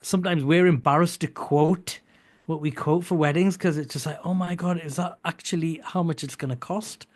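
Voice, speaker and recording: A man's voice: fairly high pitch, steady tone, normal speed, a little mellow. A man speaking as a guest in a radio studio, hesitant and earnest, repeating himself as he talks. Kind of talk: casual radio chat.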